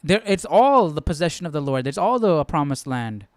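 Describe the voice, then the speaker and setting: A man hosting a Bible study, reading the passage aloud and thinking out loud with casual, hesitant asides. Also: on the high side, big pitch swings, moderate pace.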